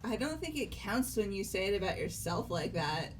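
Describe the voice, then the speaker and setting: A woman's voice: medium pitch, very animated, normal speed, faint music behind, close to an everyday voice. A woman playing a breezy, curious young female student with casual, eager delivery in an amateur game dub. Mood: curious, surprised. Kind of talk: amateur fully voiced game playthrough.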